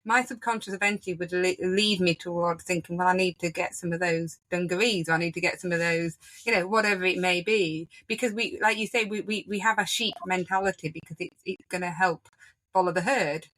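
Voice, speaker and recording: A woman's voice: lowish voice, fairly even intonation, a little fast, a bit bright, low-quality recording. A woman with a Yorkshire accent hosting a podcast interview, chatty and reflective, often restarting her sentences. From Yorkshire, England. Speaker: podcast host.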